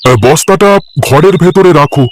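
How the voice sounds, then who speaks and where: A man voicing an eager, defensive village vegetable seller in a cartoon, chatty and folksy with drawn-out hesitations. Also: sits high, slight background noise, close to an everyday voice. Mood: casual, homely. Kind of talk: cartoon voice acting.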